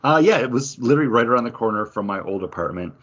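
A man's voice: medium pitch, lively intonation, fast talker, fairly bright tone, husky. A man co-hosting a podcast, chatting casually about things in a rambling, conversational way. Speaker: podcast co-host. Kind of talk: casual chat, craft beer review. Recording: dull, lo-fi audio.

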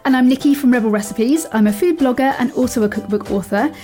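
A woman's voice: a little high, quick pace, warm tone, soft background music. A woman co-hosting a food podcast, reading an ad and welcoming a guest in a friendly way. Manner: friendly, reads lines smoothly. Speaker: podcast co-host, food blogger.

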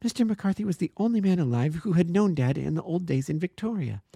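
A man's voice: lively intonation, brisk, vocal fry, warm tone, breathy edge, no room sound, clean studio sound, exaggerated voice. A man voicing an earnest young woman, breathless and insistent as she pleads. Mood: pleading. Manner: breathless and insistent, rising high. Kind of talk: audiobook character voice.

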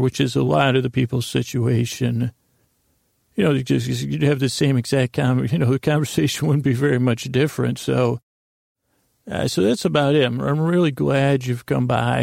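A man hosting a sleep podcast, talking in a creaky, meandering, self-deprecating monologue full of tangents. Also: medium pitch, darkish tone, no echo, studio quality. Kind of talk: rambling monologue.

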